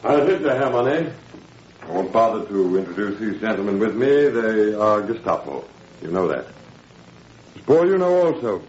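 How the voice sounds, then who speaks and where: An actor playing a smug, menacing Nazi official with sneering, mock-courteous manners in 1940s radio drama style. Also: male voice, on the low side, very animated, normal speed, a little mellow. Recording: muffled, hissy 1940s radio recording.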